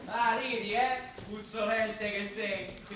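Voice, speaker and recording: A woman's voice: medium pitch, steady tone, takes it slowly, fairly bright tone, a little hiss or hum. A woman playing a doting, brash Roman relative who teases and coos in a dialect radio drama, with a Roman accent. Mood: teasing, cooing. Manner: brash, teasing, baby-talking. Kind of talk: stage-style dialect radio drama acting. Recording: very echoey, distant stage with crowd sound.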